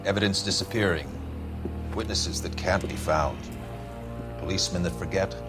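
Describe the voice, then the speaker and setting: Man playing a smug low-voiced crime boss. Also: natural voice. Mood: smug, calm. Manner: measured, insinuating, unhurried. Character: smug, well-connected male crime boss. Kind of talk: video game cutscene acting. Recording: music behind the voice.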